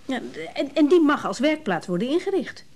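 A woman's voice: high-pitched, big pitch swings, normal speed, darkish tone. An actress playing a composed, persuasive wife of a wealthy man in a Dutch radio play, speaking politely and concisely.

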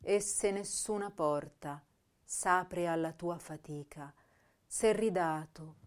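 An intense young woman poet of 1930s Italy, played by an actress for a radio play. Her voice is mellow and of medium pitch. She delivers diary pages and verse in an introspective, expressive way, full of troubled feeling. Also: lightly acted. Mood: solemn, slow. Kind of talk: dramatic reading of diaries, letters and verse.

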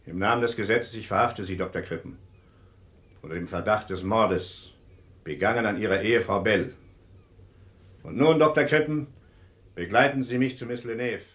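A man's voice: on the low side, normal speed, long pauses, fairly bright tone, close to an everyday voice. A man playing a formal, coolly ironic police inspector in a 1960s radio crime drama. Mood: stern, triumphant. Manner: formal, authoritative.

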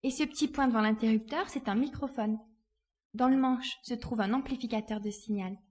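A woman playing a spirited, resourceful young girl in a dramatised audiobook, lively and assured in her delivery. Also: fairly high pitch, brisk, lightly acted. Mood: proud, explanatory. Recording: faint background noise.

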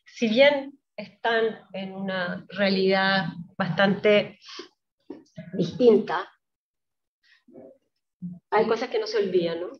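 Slow-speaking woman lecturer, distant and echoey. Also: mid-range voice. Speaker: lecturer presenting Palestinian poetry. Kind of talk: public talk with poem readings. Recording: roomy, distant, dull lo-fi pickup.

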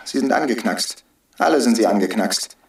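Defiant hostage-taker, roomy and distant. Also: male voice, fairly low pitch, lively intonation, moderate pace. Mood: taunting, commanding. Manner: declamatory, taunting, needling. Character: defiant drug-free hostage-taker, bitter, taunting, preaching agitator. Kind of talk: radio drama acting.

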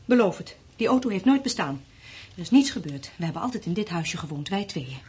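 A woman's voice: lowish voice, expressive, brisk, a little mellow, lightly acted. An actress playing a bitter, frightened woman survivor in a radio play, brooding and sarcastic, swinging between dreamy and cutting. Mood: bitter, accusing. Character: bitter, frightened, mocking woman surviving a catastrophe with her partner. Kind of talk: naturalistic radio play acting. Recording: radio play with background ambience.

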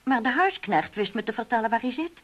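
An actress playing a tired but determined assistant in a radio drama, with a husky, informal delivery. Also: female voice, a little high, expressive, fast, a bit bright. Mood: polite, weary. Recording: dull old radio recording.

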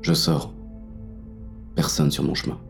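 An actor playing a paranoid, brooding police inspector on the run, narrating a noir audio drama in coarse first person. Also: male voice, measured pace, soft background music, natural voice. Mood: wary, brooding. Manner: gritty, coarse, brooding inner monologue.

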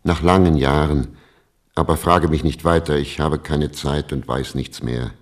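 A solemn old rabbi in a radio play. The voice is extremely low. He speaks gravely and with measure, like one delivering prophecy. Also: steady tone, a little slow. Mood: stern, dismissive. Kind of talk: German radio play acting.

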